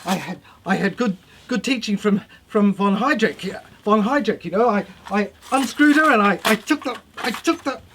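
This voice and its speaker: A woman's voice: medium pitch, animated, normal speed, hardly any pauses, noisy background, close to an everyday voice. A woman performing a theatrical dramatic monologue as an unhinged mad scientist in an asylum, rambling obsessively in repetitive fragments. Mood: agitated, stammering. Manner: stammering, repetitive, fragmented delivery.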